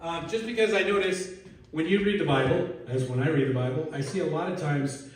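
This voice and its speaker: A male pastor preaching a relaxed, joking sermon and asking his congregation questions, recorded in a church sanctuary from a distance. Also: on the high side, fairly quick, a little hiss or hum. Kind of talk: conversational sermon. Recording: echoey hall, distant mic.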